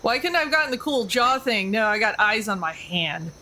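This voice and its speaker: A woman's voice: fairly high pitch, big pitch swings, brisk, pushed and bright, a little hiss or hum. A woman playing a tabletop roleplaying game, speaking in casual, joking table chat.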